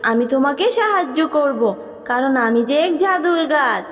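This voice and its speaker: Woman voicing a high-pitched kindly magical tree. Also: animated, moderate pace, long reverb tail. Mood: grateful, generous. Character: kindly magical talking tree, grateful and gently admonishing. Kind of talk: children's fairy tale cartoon dub.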